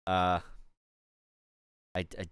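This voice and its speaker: Man, deep voice, smooth tone, dry close sound, middle-aged, from the Pittsburgh area, rambling streamer chat full of fillers. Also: completely flat delivery, drawn-out words, a bit bright, no echo. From Pittsburgh area, USA. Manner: rambling, chatty, many fillers and restarts. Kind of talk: casual chat while building a toy set.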